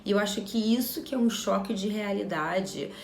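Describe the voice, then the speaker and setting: A woman's voice: mid-range voice, moderate pace, a little hiss or hum. Frank Brazilian woman in a roomy space. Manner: frank, opinionated, repeats phrases for emphasis. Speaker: vlogger. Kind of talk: casual talk to camera.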